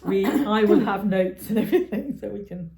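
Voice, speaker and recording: A woman's voice: mid-range voice, moderate pace, vocal fry, dark tone. Chatty giggly woman, echoey room. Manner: chatty, giggly, trails off and restarts. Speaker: podcast co-host. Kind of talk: casual chat. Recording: roomy echo.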